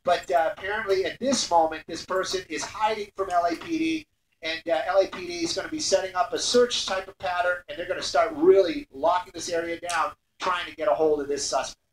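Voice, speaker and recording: Male helicopter news reporter, high-pitched, halting delivery with repeats, roomy airborne link with faint noise. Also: steady tone. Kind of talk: live breaking-news commentary. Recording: roomy, distant airborne radio link, noisy.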